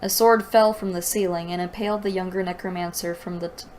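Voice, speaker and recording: A woman's voice: lowish voice, fairly even intonation, brisk, a little mellow, a little hiss or hum. A woman reading a story aloud and breaking in with sarcastic, exasperated commentary. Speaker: host reading and critiquing a fantasy novel aloud.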